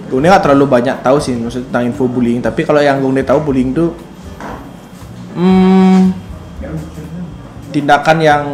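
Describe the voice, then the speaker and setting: A young man giving a thoughtful, slow answer, searching for words as he explains. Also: mid-range voice. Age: young adult. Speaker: interviewee. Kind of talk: casual conversational answers.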